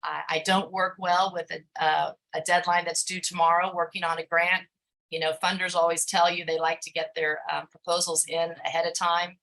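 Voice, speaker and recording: A woman speaks on a video call as a panelist, her voice bright and forward while sitting a little low in pitch. She talks in a halting way, filling gaps with frequent ums and uhs. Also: little pitch movement, poor audio. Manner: hesitant, many fillers. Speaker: grant writer, panelist.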